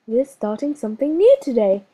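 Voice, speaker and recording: A woman's voice: fairly high pitch, big pitch swings, fairly quick, mellow, slightly rough, highs cut off. Woman voicing a firm, fraying schoolteacher. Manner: firm, clipped instructions. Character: strained, patient-but-fraying female schoolteacher. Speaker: teacher.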